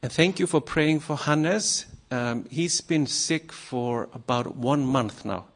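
Slow, halting man from Iceland preaching. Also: mid-range voice. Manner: halting, many ums and uhs, nervous. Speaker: guest preacher. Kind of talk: sermon to a congregation.

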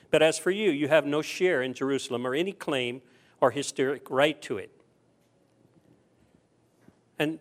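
A male preacher from the American South speaks. He delivers his sermon conversationally, posing rhetorical questions and circling back over key phrases to drive them in. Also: on the high side. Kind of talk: sermon with scripture reading.

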